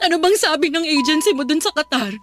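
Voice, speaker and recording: A woman's voice: high-pitched, lively intonation, quick pace, music in the background. An actress playing an anxious, nagging middle-aged mother in a radio drama. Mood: fretful scolding. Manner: fretful, scolding, tearful at times. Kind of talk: Filipino radio drama acting.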